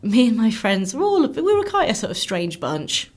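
A woman's voice: mid-range voice, huge pitch swings, normal speed. Rambling, self-deprecating young woman chatting. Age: late 20s. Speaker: interview guest, musical comedian. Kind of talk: casual chat.

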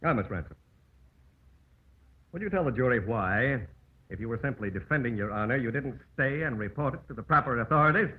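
An actor playing a sardonic, badgering prosecuting attorney with pointed, insinuating emphasis and an American accent, in theatrical radio courtroom style. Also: male voice, mid-range voice, lively intonation, a little fast, long pauses, natural voice. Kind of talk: theatrical old-time radio courtroom drama. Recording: old, narrow tinny radio broadcast.

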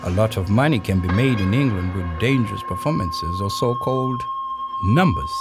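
A proud, tense circus troupe leader in an audio play. He tells his story vividly and urgently, with widely swinging pitch. Music plays beneath his voice. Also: expressive, moderate pace. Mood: cool, calculating. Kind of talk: dramatic first-person monologue in an audio play. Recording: music under the voice.

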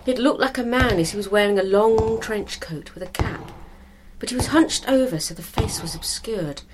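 A woman reading a scripted story as narrator, in a measured, expressive storytelling manner. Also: mid-range voice, noisy background.

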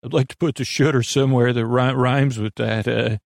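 A man with a medium-pitched, creaky voice hosts a sleep podcast. He rambles without a script, halting, repeating words and drifting away from his point. The recording is dry, with very little room sound. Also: fairly even intonation, no echo. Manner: meandering, halting, repeats and trails off mid-thought. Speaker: podcast host.

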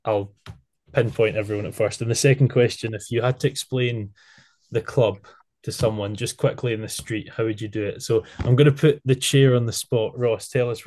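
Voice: mid-range voice, fairly even intonation, brisk, darkish tone. A podcast host in their twenties, leading a casual group interview with self-deprecating banter. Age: 20s.

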